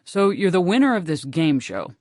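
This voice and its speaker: A woman's voice: fairly low pitch, sing-song, moderate pace, darkish tone, no echo, studio quality. Woman voicing an earnest, lecturing man. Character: opinionated male travel companion, earnest dreamy theorizer. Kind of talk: audiobook character reading.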